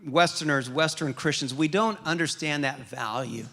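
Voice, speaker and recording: Man preaching, bright forceful voice, a bit high, tells stories and restarts lines a lot. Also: moderate pace. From grew up in Liberia, West Africa, as an American. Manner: storytelling, animated, with repeated restarts. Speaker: pastor. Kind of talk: sermon.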